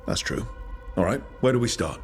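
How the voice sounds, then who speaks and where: An actor playing a steady, willing male adventurer hero in a video game, straightforward and easygoing, over music. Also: medium pitch, normal speed, lightly acted. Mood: curious, focused.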